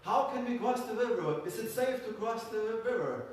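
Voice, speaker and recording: An anxious, simple-minded folktale traveler played by a man calls out in a raised, urgent pitch and repeats himself. The voice is distant from the mic, in a heavily echoing room. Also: expressive, moderate pace. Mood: anxious calling. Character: anxious, naive Swabian traveler calling across a river. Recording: echoey room, far from mic, faint noise.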